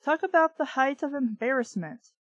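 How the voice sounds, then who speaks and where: Higher-voiced sarcastic woman, close dry sound. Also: animated, normal speed, no echo, muffled recording. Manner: sarcastic, irreverent, exasperated asides. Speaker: book review host. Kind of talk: reading fiction aloud with mocking commentary.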